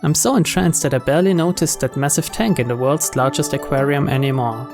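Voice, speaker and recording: A man's voice: medium pitch, a little fast, vocal fry. A man hosting a let's play, reading a visual novel's narration aloud. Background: game music.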